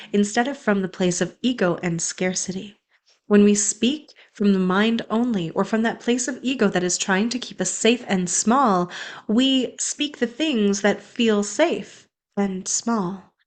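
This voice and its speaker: A young woman, a spiritual coach, speaking in an earnest, flowing, affirming way in an inspirational monologue. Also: mid-range voice.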